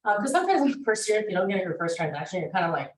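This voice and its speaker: A woman teaching a real estate class, chatty and energetic, with many fillers. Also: medium pitch, very fast, a little hiss or hum. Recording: roomy classroom echo.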